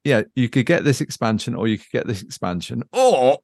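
A man's voice: mid-range voice, sing-song, fairly quick, darkish tone, dry recording, studio quality. A man chatting casually and unscripted as a podcast host, thinking aloud and searching for his words. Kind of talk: casual unscripted chat about board games.

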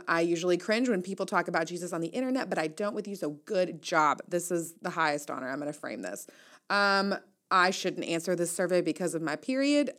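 A woman's voice: lowish voice, fairly bright tone. A woman hosting an audio newsletter, reading her casual commentary aloud in a wry, playful, conversational way.

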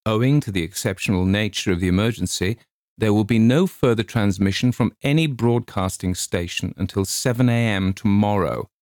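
A man playing a stern male announcer reading an emergency bulletin in a formal, deliberate manner, as in an audio story. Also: fairly low pitch, a little mellow, dry recording, clean studio sound, lightly acted. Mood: grave, official.

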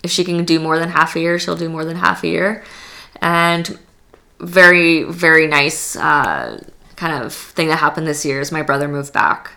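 A woman's voice: low voice, normal speed, creaky, sharp tone. A young woman born in Poland, talking casually as a podcast guest, full of "like" and restarts. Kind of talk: casual interview chat.

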